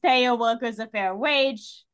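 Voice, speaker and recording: A woman chatting casually on a podcast, retelling things in an animated way and acting out imagined lines. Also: fairly high pitch, unhurried, smooth. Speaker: podcast panelist. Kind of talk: casual chat discussing a TV series.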